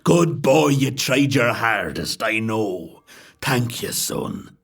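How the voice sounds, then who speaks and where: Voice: mid-range voice, expressive, slow, creaky, a bit bright, slightly rough, exaggerated voice. An actor playing a weary, despairing father who is desperate and commanding, in an audio horror drama. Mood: gentle, weary.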